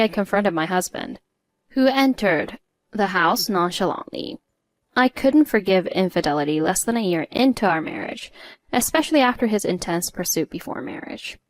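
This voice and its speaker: A woman reading a scripted first-person story in a measured, articulate way. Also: on the low side, no echo. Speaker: story narrator.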